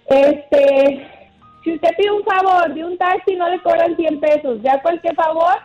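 A woman's voice: extremely high pitch, measured pace, soft background music. A woman with a Colombian accent calling a radio show, emphatically making her case point by point. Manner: emphatic, methodical, makes numbered points. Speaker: caller. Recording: slightly muffled phone line.

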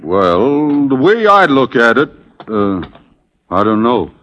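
An actor playing a slow-witted, folksy frontier sidekick with a hesitant manner, in 1940s radio style. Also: male voice, medium pitch, sing-song, a bit bright. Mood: doubtful. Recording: muffled, hissy old radio broadcast.

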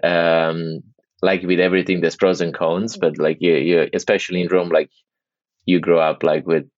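A man's voice: low-pitched, little pitch movement, moderate pace, no echo, muffled recording. A man in his thirties from Italy, with an Italian accent, speaking English as a second language as a podcast guest, talking casually with many restarts and fillers. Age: 30s. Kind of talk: casual interview conversation.

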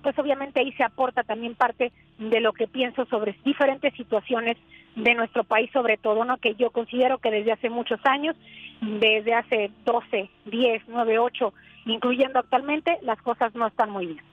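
A woman's voice: a little high, fairly even intonation, moderate pace, dry recording, soft background music. A young woman from Sonora, Mexico, a journalist, talking earnestly on a radio call-in show with frequent fillers. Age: young adult. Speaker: journalist guest. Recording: tinny phone line.

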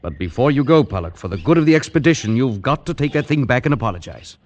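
A man's voice: mid-range voice, lively intonation, fast talker, a little mellow, highs cut off, lightly acted. A man playing a stern, scolding expedition leader in a radio play. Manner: urgent and scolding. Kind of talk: old-time radio drama acting.

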